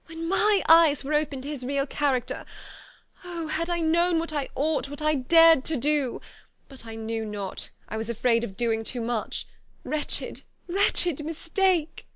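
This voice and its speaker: A woman's voice: a little high, a little fast, no room sound, pitched above the performer's own voice, natural voice. A woman reading the part of a distraught young woman, her voice anguished and pleading as if on the edge of tears. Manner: anguished, pleading, breaking with emotion. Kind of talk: audiobook character voice.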